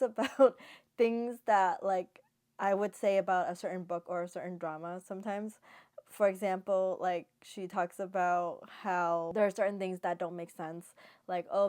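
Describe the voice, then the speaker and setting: A woman speaks casually, as a vlogger sharing reactions to what she watched. Her voice sits at an average pitch for a woman. She retells things in an animated, chatty way, slipping into quoted reactions as she goes. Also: clear, resonant tone. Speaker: vlogger giving drama reactions. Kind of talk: casual chatty review to camera.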